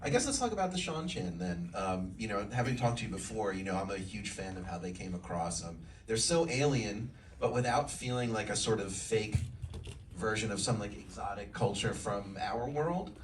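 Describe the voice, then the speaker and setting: A man's voice: fairly low pitch, animated, moderate pace, slight background noise. A man asking long, rambling questions at a live screening Q&A, full of fillers. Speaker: interviewer. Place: screening event hall. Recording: echoey room, distant mic.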